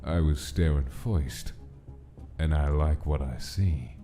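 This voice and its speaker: A man's voice: rumbling low, slow pace, mellow. A man playing a big, smug, leering crime boss in a dramatic comic dub. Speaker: comic dub narrator and voice actor. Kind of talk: dramatic story narration and character acting. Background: music.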